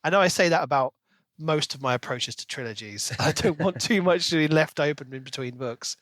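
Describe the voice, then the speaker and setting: A man with a slightly high voice co-hosts a book podcast. He chats casually, and the recording is very dry, with no room sound. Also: fairly quick, a bit bright, no room sound. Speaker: podcast co-host.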